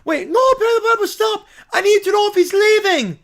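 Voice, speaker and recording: Cartoon villain, played by a man: very high-pitched, exasperated, theatrical schemer prone to shouting. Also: expressive, brisk, gravelly, exaggerated voice. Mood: panicked. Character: flustered cartoon villain, melodramatic male schemer. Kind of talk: cartoon parody voice.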